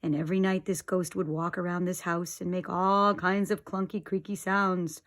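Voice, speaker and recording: A middle-aged woman, an expressive storyteller reading aloud for young children. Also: lowish voice, normal speed.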